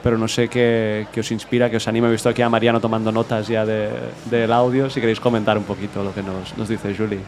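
A man's voice: fairly low pitch, little pitch movement, quick pace, hardly any pauses, darkish tone. A man with an accent from Spain hosting a panel in a casual, conversational way. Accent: Spanish, from Spain. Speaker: host. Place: outdoors on a busy city street, live. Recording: faint outdoor city noise.

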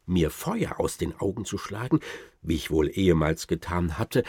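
Radio play role: boastful baron, low husky voice, ornate wry storytelling. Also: male voice, dry recording, studio quality. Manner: ornate, deliberate, dryly ironic, expressive. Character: boastful old-time baron telling tall hunting tales. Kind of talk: theatrical first-person storytelling in a radio play.

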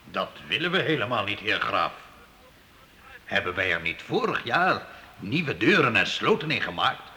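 A bold medieval burgher, protesting insistently. The voice is piercingly bright and animated, ringing in a reverberant hall in an old radio drama. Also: male voice, fairly low pitch, normal speed, heavily acted. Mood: indignant. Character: bold, outspoken medieval townsman defying his betters. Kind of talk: Dutch historical radio play acting. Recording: reverberant hall, faintly noisy radio play.

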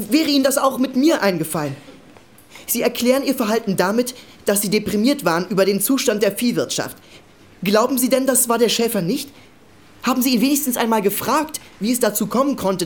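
A woman playing an earnest party comrade in a radio drama, arguing insistently and a little haltingly for fairness. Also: a little high, lightly acted. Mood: challenging. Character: earnest young party comrade, shepherd's child, pleading for fairness. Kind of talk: German radio drama acting.

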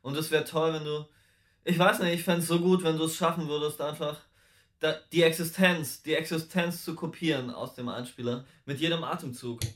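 A man's voice: a little high, fairly even intonation, moderate pace, fairly bright tone. A man giving informal feedback to a performer as part of a radio drama directing team.

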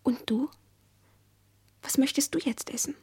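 An actress playing a dreamy, childlike young woman, speaking in a hushed, intimate, breathy whisper in a radio play. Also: high-pitched, a little slow, full character voice. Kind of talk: German radio play acting.

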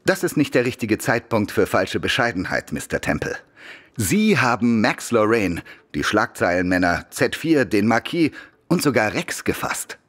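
Tiefer, lebhaft betonender Kriminalbeamter. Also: male voice, on the low side, sing-song, moderate pace, a bit bright. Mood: grave, deliberate. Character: serious police official reporting a case. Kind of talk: German radio play acting.

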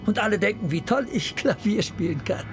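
An actor playing a brash, cynical police detective in a German radio crime drama, with a sardonic, provocative, joking manner. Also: male voice, high voice, animated, a little fast, music in the background. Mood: chatty, brash.

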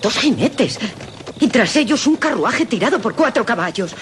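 An actress playing an excitable, sheltered young noblewoman in a Castilian Spanish radio drama, describing things theatrically in halting phrases. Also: fairly high pitch, slight background noise. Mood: alarmed excitement. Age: young adult.

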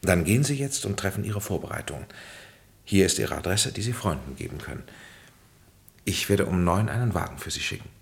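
An actor playing a solemn, fatherly spiritual guide in a German radio play, speaking in a grave, measured, oracular manner. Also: animated. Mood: calm, firm. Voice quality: low, creaky.

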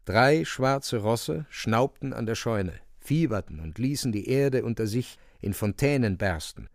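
A man's voice: mid-range voice, lively intonation, unhurried, no room sound, clean studio sound. A man giving solemn, theatrical literary narration for a radio play.

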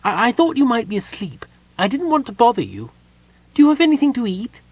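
A man voicing a small, excitable, childlike male kender who chatters and stumbles over his words, in a husky voice. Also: very high-pitched, a little fast, no room sound, pitched above the performer's own voice, exaggerated voice. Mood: chirpy.

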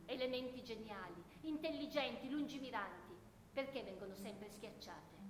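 A woman's voice: fairly high pitch, lively intonation, normal speed, close to an everyday voice. A woman playing an anguished, sleepless and disillusioned soldier at war, declaiming solemnly in a theatrical radio play monologue. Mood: bitter accusation. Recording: echoey hall with clear background noise.

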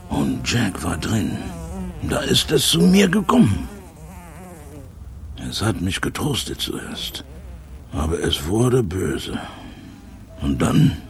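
An actor playing a bitter old jazz trumpeter, broken and haunted, rambling and repeating himself in a German radio drama. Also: male voice, fairly low pitch, gravelly, slight background noise, heavily acted. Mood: anguished, bitter.

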